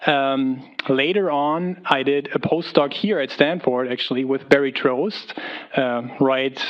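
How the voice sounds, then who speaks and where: A man with a German accent, a chemist, telling his career path on a panel in a relaxed way with many fillers. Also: mid-range voice. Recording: dull lo-fi room recording.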